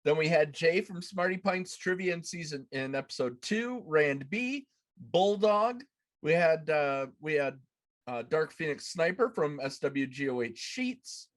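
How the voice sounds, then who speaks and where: Guy in his 30s hosting a casual livestream podcast, voice a bit on the high side. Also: expressive, unhurried. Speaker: podcast host. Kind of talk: casual chat on a live show. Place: small room at home, indoors.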